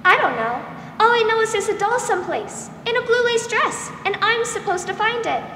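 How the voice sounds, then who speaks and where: A woman acts an eager, wide-eyed little girl in old radio drama style. The voice is extremely high and swoops expressively. It is heard in a noisy, echoey theater. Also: expressive, normal speed, sharp tone, distant mic, full character voice. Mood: insistent searching. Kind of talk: 1940s radio drama acting. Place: school theater stage. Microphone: stand microphone, masked performer.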